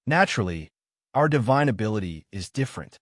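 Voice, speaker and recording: A man voicing a young slave girl of a nonhuman clan in a fantasy audiobook, formal, deferential and quietly confident. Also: lowish voice, very animated, a little fast, dry recording, studio quality. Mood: deferential, explaining.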